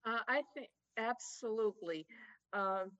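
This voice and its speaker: A woman with a Boston accent, talking as a guest in a casual conversation, chatty and quick to laugh. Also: a little high, fairly bright tone, no echo, lo-fi sound. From United States. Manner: chatty, laughs easily, many fillers.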